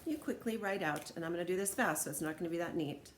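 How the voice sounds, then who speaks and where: Casting: American female teacher, lower register, informal and encouraging instructional delivery, light background noise. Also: quick pace. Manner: encouraging, conversational. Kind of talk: informal read-aloud and craft demonstration for students.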